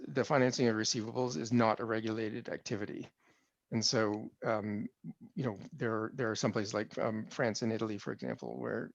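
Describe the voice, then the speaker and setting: A man's voice: mid-range voice, gravelly, dry recording, dull audio. A middle-aged man speaking in a businesslike way on a video call, wearing a headset. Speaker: call participant adding information. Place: study with framed pictures and flag on wall.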